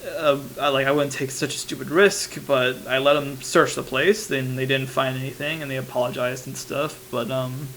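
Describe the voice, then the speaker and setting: A man's voice: medium pitch, steady tone, normal speed, hardly any pauses, fairly bright tone, close to an everyday voice. A young man playing an anxious investigative reporter, rambling hesitantly and informally into a private tape diary. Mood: wary, recounting. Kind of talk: analog horror monologue, confessional voice memo. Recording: noisy tape recorder with hum.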